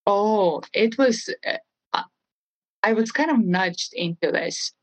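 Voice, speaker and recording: A woman's voice: mid-range voice, expressive, slow pace. A woman in her forties from Estonia with an Estonian accent, a podcast guest who answers earnestly and thoughtfully, often restarting her sentences. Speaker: guest, real estate trainer. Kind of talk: podcast interview conversation.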